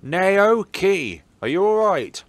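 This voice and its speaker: A man voicing an excitable, caring teenage schoolboy in a horror game, earnest and exclamatory. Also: high voice, takes it slowly, no echo, voice pushed up, full character voice. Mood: worried.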